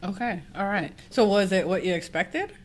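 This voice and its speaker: A woman hosting a podcast, in a casual interview chat, friendly, quick to laugh, with plenty of "um". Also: medium pitch. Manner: friendly, laughs often, many fillers. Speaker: podcast host.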